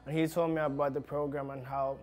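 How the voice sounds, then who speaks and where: A man's voice: medium pitch, little pitch movement, fast, a little mellow. A young man from Zambia, a soccer player talking casually in a documentary interview with many "like" fillers.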